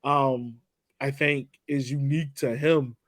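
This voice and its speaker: A man's voice: mid-range voice, steady tone, unhurried, no room sound. A man co-hosting a sports podcast, talking casually and conversationally as in a post-game analysis. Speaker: podcast co-host.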